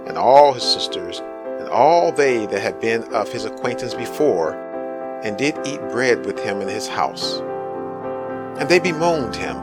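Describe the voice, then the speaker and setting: A man narrating a dramatic scripture reading in a solemn, deliberate storytelling manner. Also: fairly low pitch. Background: prominent music.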